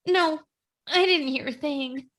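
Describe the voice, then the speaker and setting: Woman acting a high-voiced, wisecracking lady crook. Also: slow, fairly bright tone. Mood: uneasy. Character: jittery, wisecracking female burglar, bickering younger sister. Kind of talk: comic old-time radio play acting.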